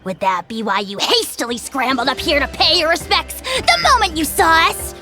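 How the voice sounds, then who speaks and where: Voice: high-pitched, expressive, normal speed, over music. An actor voicing an arrogant little dragon lord in a video game, pompous and grandiloquent. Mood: haughty, mocking.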